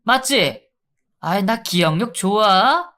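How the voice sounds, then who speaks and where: A brash young male inmate in a storybook tale, as a man puts him on, whining and pleading in a raised, strained voice. He taunts and cries out, much higher and louder than the narrator's usual tone. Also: expressive, slow, exaggerated voice. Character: brash whiny male inmate in withdrawal, narrator's old school bully.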